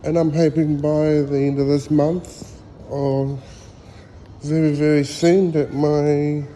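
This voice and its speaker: Slow, relaxed New man outdoors. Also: a little high, slight background noise. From New Zealand. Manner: relaxed, rambling, with hesitant fillers. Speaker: vlogger. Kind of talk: casual walking tour vlog. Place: outdoors at a school grounds.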